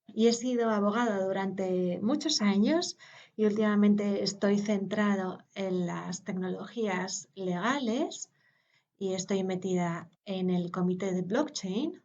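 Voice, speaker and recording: A woman, interpreting a conference talk live, hesitant with pauses and filler sounds. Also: mid-range voice, a little mellow, muffled recording.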